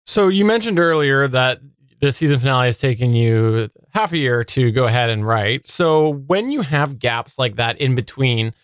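A man's voice: medium pitch, lively intonation, normal speed, smooth, dry recording. A man hosting a podcast interview, putting questions to his guest in a conversational way.

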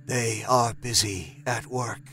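A man voicing an eerie, low-pitched Martian creature that speaks in a hushed, distant way. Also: fairly even intonation, takes it slowly.

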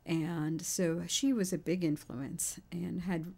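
Deep-voiced, measured middle-aged woman. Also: fairly even intonation, creaky, a little mellow. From born in Haiti, raised in Queens, New York. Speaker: interview guest, physician and university president. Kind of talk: conversational interview answers.

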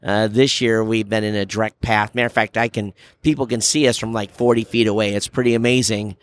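Man, fairly low voice, podcast host, casual chat, halting delivery. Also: fairly even intonation, dry recording. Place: booth in a very large trade show hall. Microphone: podcast microphone.

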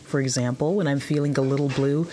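A woman's voice: extremely low pitch, steady tone, fast talker, background noise. A woman playing a chatty, helpful food-service worker in an audio drama, talking in a casual, conversational way. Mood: chatty, explaining. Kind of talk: full-cast audio drama acting.

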